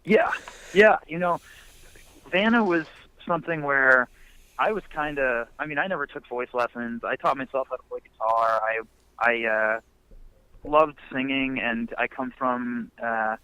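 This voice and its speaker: A man's voice: mid-range voice, measured pace, sharp tone, clean tone, dry recording. A young man musician talking casually in a phone interview, laid-back and rambling with many fillers. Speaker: musician guest. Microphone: phone line. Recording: muffled phone line.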